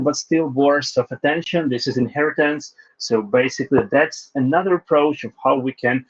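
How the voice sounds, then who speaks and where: A man's voice: mid-range voice, lo-fi sound. A man from Ukraine giving a technical presentation on a video call, phrasing loosely with fillers and restarts. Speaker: guest speaker, Salesforce developer.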